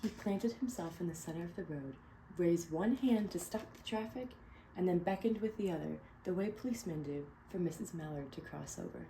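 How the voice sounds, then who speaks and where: A woman reading a picture book aloud to children, pausing to ask them questions. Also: fairly low pitch, a little hiss or hum, poor audio. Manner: gentle, asks children questions. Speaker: read-aloud storyteller.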